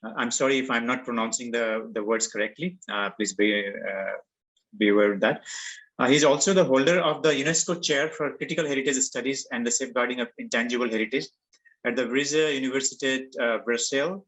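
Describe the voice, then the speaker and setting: A man hosting an online workshop, introducing the guest speaker in a quick, informal way. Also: mid-range voice, fairly bright tone, low-quality recording. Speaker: workshop host. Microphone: video call.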